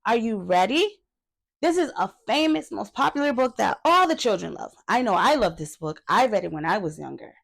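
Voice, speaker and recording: A woman's voice: mid-range voice, sing-song, moderate pace, gravelly. A woman hosting a read-aloud for young children, reading a picture book and talking to the listeners with questions and little asides.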